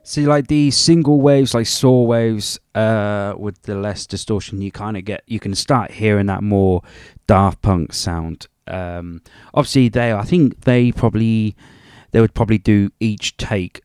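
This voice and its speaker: A man's voice: fairly low pitch, measured pace, darkish tone, clear, resonant tone, no echo, clean studio sound. A man presenting a casual, unscripted music production tutorial, rambling hesitantly and a little self-deprecating.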